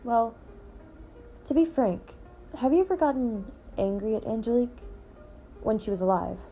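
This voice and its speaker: A woman's voice: fairly high pitch, expressive, normal speed, mellow, soft background music. A woman playing a level-headed, matter-of-fact investigator who keeps firm order in a puppet-show murder mystery.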